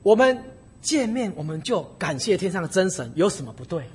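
A man preaching in Chinese and animatedly telling a story, pausing for an interpreter. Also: high-pitched, slight background noise. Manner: animated storytelling, acting out dialogue. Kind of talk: sermon in Chinese with consecutive interpretation.

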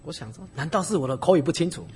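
A man preaching in Chinese and animatedly telling a story, pausing for an interpreter. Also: fairly high pitch, breathy edge. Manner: animated storytelling, acting out dialogue. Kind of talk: sermon in Chinese with consecutive interpretation.

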